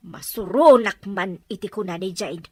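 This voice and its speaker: A woman playing a caring young wife and daughter who speaks in a lively, brisk way in an audio drama. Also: mid-range voice, breathy edge, no room sound, natural voice.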